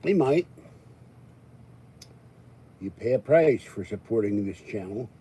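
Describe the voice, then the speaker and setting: An older American man hosting his own channel, talking in a rambling, casual way with jokey asides and frequent throat-clearing. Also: on the low side, animated, slow pace, warm tone. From Pittsburgh, United States. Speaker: YouTube channel host. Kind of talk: rambling casual monologue to viewers.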